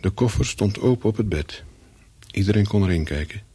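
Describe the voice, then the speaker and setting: An actor playing a wary, secretive English hotel guest in a radio play, telling his story in short, measured sentences with a husky voice. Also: expressive, warm tone. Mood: brooding, reflective. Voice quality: low, husky. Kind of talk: radio play, first-person narration with dialogue.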